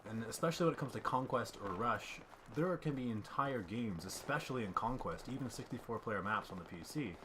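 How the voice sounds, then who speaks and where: A man's voice: mid-range voice, animated, brisk, hardly any pauses, background noise. A young man giving rambling, self-deprecating voice-over commentary on his gameplay while fighting off a cough. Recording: game gunfire clearly heard behind. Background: game gunfire and ambience.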